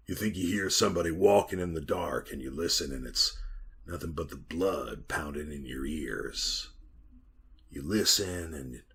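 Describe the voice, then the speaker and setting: An actor playing a frightened, trapped miner in a 1940s-style radio horror drama, husky and plain-spoken in a folksy way. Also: male voice, low-pitched, fairly bright tone, heavily acted. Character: trapped, frightened miner telling his haunted tale.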